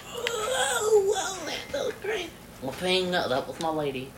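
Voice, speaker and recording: A woman with a low, mumbling voice joking crudely while playing a game with a friend. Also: huge pitch swings, measured pace, noisy background. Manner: joking, crude, sing-song bits. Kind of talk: casual chat over a game.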